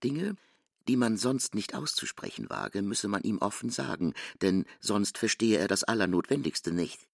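A man's voice: lowish voice, very animated, moderate pace, creaky, dry recording, clean studio sound. A man delivers dramatic scripted literary narration in a radio drama.

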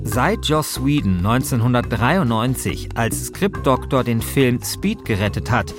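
A man's voice: medium pitch, lively intonation, measured pace, over music. A man hosting a podcast, reading scripted narration in a conversational way. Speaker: host and narrator.

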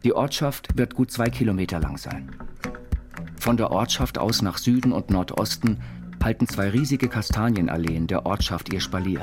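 An actor playing an eccentric self-taught inventor, narrating his life story in measured, quaintly formal phrases for an audio biopic. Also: fairly low pitch, animated, natural voice. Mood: matter-of-fact reminiscence. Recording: studio voice over loud music.

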